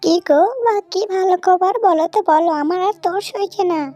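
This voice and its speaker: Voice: very high-pitched, steady tone, fairly quick, warm tone, no echo, soft background music. A performer voicing a nagging, impatient wife animal in a village cartoon, scolding and demanding. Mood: impatient, eager. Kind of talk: Bengali cartoon voice acting.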